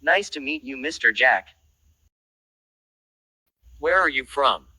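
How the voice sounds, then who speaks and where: Slow, very bright husky male voice. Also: mid-range voice, lively intonation, dry recording. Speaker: dialogue reader in an English lesson. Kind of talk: scripted practice dialogue.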